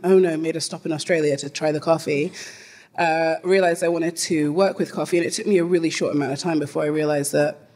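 A woman's voice: sits low, darkish tone. A middle-aged woman from London with a London accent, a panel guest chatting on a theater stage into a handheld mic, laughing often. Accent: London English. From London, England. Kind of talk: live panel conversation. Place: theater stage in front of a live audience.

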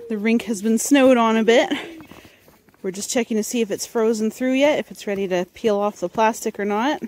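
A woman's voice: on the high side, moderate pace, darkish tone. A woman narrating casually to a handheld camera outdoors in a snowy backyard. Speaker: vlogger filming her family. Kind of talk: casual chat.

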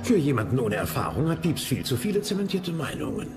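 A confident, hawkish courtier in a historical audio drama. His voice is rather high and very lively, with clear music behind it. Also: fast talker. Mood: assured. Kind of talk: historical docudrama radio play acting.

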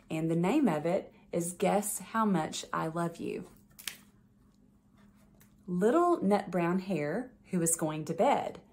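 A middle-aged woman reading a children's storybook aloud in a measured, expressive way, indoors in a small room. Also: on the low side. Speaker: guest story reader.

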